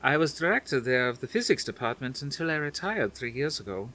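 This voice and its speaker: A man's voice: medium pitch, big pitch swings, fairly quick, pushed and bright, pitched above the performer's own voice, full character voice. A man reading an audiobook, voicing a stately grandmother near seventy with a faint Dutch accent who speaks firmly and formally. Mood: amused. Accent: faint Dutch, as put on. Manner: firm, soothing, formal phrasing.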